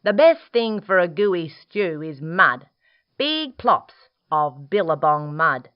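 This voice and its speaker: A woman's voice: fairly low pitch, big pitch swings, slow, muffled recording. A woman voicing a helpful, know-it-all male platypus in a children's storybook, emphatic and snappy. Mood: cheery, advising.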